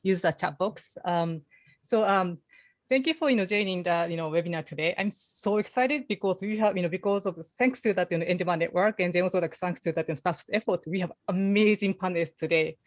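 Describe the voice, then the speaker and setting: Woman, strong Japanese accent, lowish voice, dry close sound, halting, lots of fillers. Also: no room sound. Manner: halting, many "you know" fillers. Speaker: panel moderator. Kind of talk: webinar moderating.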